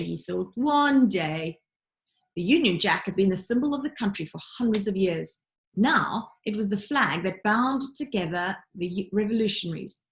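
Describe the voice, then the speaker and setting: A woman reads a children's adventure story aloud in an expressive, teacherly way. Her voice sits at a middling pitch, and she places dramatic, deliberate emphasis on key words as she narrates. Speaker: teacher reading aloud to pupils.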